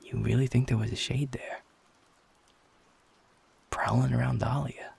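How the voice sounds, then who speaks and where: A man voicing a feisty, flirtatious werewolf in an intimate audio roleplay, gruff, cocky and blunt, with a gravelly, husky voice. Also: medium pitch, little pitch movement, measured pace, a little mellow, exaggerated voice. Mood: stunned, curious. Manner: swearing, teasing, informal and blunt.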